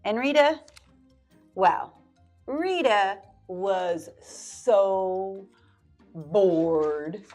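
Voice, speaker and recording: Slow, expressive woman reading a storybook. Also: medium pitch, faint music behind. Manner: expressive, drawn-out words and playful whispered asides. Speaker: library storyteller. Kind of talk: reading a picture book aloud to children.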